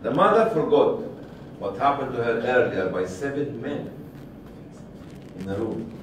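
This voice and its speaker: A man in his sixties telling a story to a group in a deliberate way, repeating and pausing for emphasis. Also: mid-range voice, animated. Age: 60s. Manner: deliberate, with repetition and pauses for emphasis. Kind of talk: spoken storytelling to an audience. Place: medium-sized indoor seminar room. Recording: distant, very echoey room recording with faint noise.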